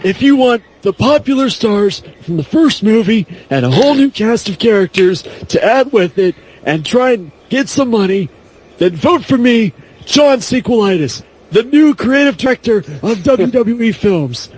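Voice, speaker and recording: A man voicing a brash, sleazy movie director making a showy sales pitch in a comedy podcast bit. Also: lively intonation, normal speed, darkish tone, slightly rough, poor audio. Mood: smug pitching.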